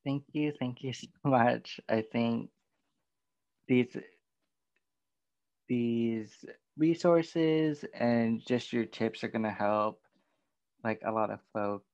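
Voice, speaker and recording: A man hosts the conversation and asks questions slowly and thoughtfully, with soft pauses. His audio is dry and a bit dull, with no room sound. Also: mid-range voice, no echo. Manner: thoughtful, with gentle filler pauses. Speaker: podcast host. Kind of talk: interview questions.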